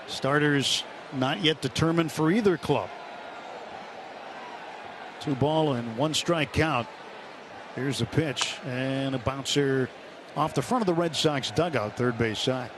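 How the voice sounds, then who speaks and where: A man's voice: mid-range voice, takes it slowly, long pauses. A man doing live play-by-play baseball commentary on the radio. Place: broadcast booth at a ballpark. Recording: noisy stadium radio broadcast. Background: steady ballpark noise.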